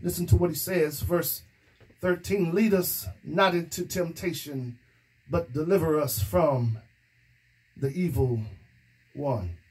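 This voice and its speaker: A man's voice: on the high side, takes it slowly, long pauses, a little mellow, husky, poor audio. A middle-aged male pastor preaching a sermon into a stand microphone in a small room, building emphasis and dropping in "amen" as he goes. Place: small indoor room against a plain wall. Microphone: stand microphone in front of mouth.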